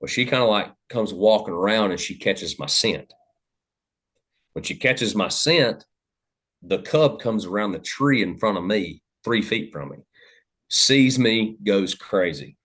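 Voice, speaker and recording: A man, a podcast host telling a hunting story in a loud, animated, cursing way. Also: lowish voice, a little slow. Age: 30s. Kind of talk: casual storytelling chat.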